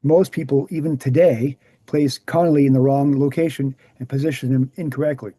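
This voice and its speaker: A man's voice: medium pitch, fairly even intonation, brisk, warm tone, no room sound, close to an everyday voice. A man reading the part of a confident, didactic explainer in scripted dialogue. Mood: explanatory. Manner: didactic, assured. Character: Gary, confident explanatory man debunking assassination myths. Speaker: actor in a screenplay table read.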